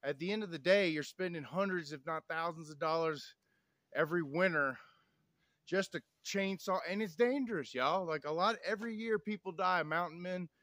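Thirties man, preachy folksy off-grid vlogger, higher-pitched voice, dry sound, filmed by a tripod camera outside. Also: moderate pace, fairly bright tone, no room sound. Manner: folksy, preachy, keeps saying y'all. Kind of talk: outdoor vlog talk to camera. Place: snowy woods outdoors. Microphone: camera on tripod, a few meters away.

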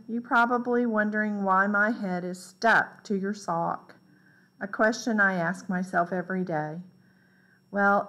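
A woman reading a children's picture book aloud in a deliberate, expressive way. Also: medium pitch. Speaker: read-aloud storyteller.

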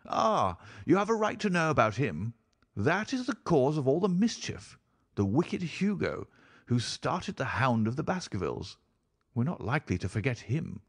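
A man's voice: medium pitch, sing-song, moderate pace, breathy edge, dry recording, clean studio sound, pitched above the performer's own voice. A man voicing a genial, courteous baronet in an audiobook, hearty, frank and good-humoured. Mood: wry. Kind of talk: audiobook character voice.

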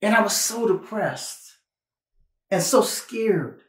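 A man's voice: sits high, animated. Man preaching conversationally, roomy sound. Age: 50s. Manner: earnest, emphatic phrasing with pauses and repetition. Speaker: pastor. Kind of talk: sermon with personal testimony, conversational. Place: small indoor studio, plain backdrop.

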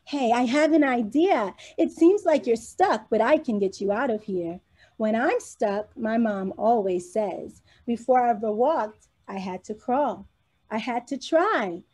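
Sweet little boy, high and gentle, cheering a friend on , voiced by a woman. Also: lively intonation, a little slow. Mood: encouraging. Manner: gentle and encouraging. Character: kind, encouraging little boy.

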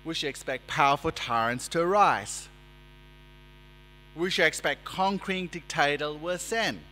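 A man in his forties preaching a sermon at a lectern in a church, speaking in a measured, emphatic way and gesturing as he talks. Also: a little high, bright, forceful tone. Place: church sanctuary, indoors. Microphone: lectern microphone. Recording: reverberant church hall with mains hum.

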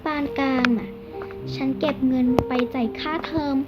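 A woman's voice: high-pitched, steady tone, normal speed, dull audio. A young-sounding female storyteller improvising a schoolgirl role-play skit, exclaiming and drawing out her words. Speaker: storyteller voicing a homemade game skit. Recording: loud music behind the voice. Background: loud music.

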